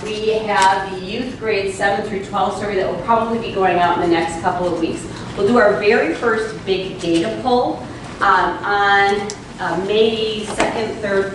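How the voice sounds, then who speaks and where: A woman leading a public housing meeting, walking the group through a survey with frequent fillers. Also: mid-range voice. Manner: explanatory, many fillers. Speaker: meeting facilitator. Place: church hall meeting. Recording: echoey room from far off with noise.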